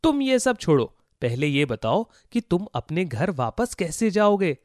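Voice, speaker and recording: A man's voice: high-pitched, big pitch swings, a little slow, no room sound, clean studio sound. A man voicing a kind, brave boy in a children's story, speaking earnestly and with animation. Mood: caring, determined. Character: kind, brave poor boy, eager to help. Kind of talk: Hindi children's moral story voice acting.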